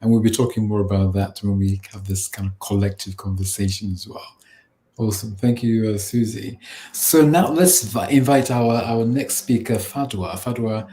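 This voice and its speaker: A man hosting an online panel over a video call, halting and encouraging, often repeating his words. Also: on the low side, mellow, reverberant.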